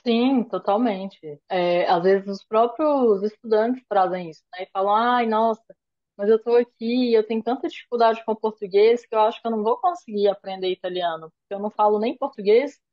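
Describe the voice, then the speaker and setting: A woman from Brazil teaches over a video call in a measured, explanatory way. She tags her points with little check-ins as she reasons aloud. Her mid-range voice comes through dull and lo-fi. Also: mid-range voice. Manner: thoughtful, explanatory, frequent "né?" tags. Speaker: course lecturer. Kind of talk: online lecture discussion.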